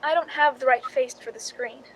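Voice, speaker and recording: A woman's voice: normal speed, fairly bright tone, faint music behind. A woman playing a cool, calculating young Hollywood stand-in who tells her story drily in a suspense radio drama. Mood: wry, confiding. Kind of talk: 1940s-style suspense radio drama acting. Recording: noisy recording with low rumble.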